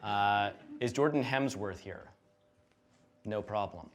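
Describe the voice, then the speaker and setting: A man's voice: lowish voice, slow pace, very noisy. A man hosting a live fan Q&A on a concert stage, reading questions out and teasing the band. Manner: teasing, laughing, reads out questions. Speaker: Q&A host.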